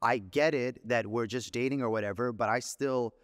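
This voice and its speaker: A man's voice: medium pitch, fairly even intonation, normal speed, clear, resonant tone, dry recording, clean studio sound. A young man from Canada co-hosting a podcast, eager and full of questions. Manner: eager, asks questions, talks in bursts. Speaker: podcast co-host. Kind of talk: casual podcast chat.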